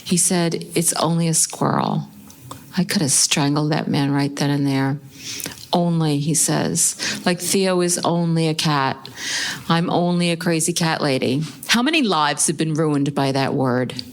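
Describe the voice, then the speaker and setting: A guilty intense married woman who loves animals, lowish voice, live radio play. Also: a little slow. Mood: anguished, confessional. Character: distraught, self-blaming married woman, guilt-ridden animal lover. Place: live on a theatre stage.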